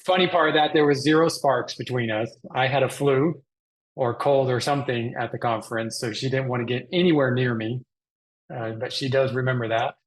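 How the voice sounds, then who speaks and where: A man's voice: medium pitch, steady tone, a little fast, rough recording. A middle-aged man from Texas, explaining his work and life as an interview guest. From Texas, Dallas area. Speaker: guest, mission organization president.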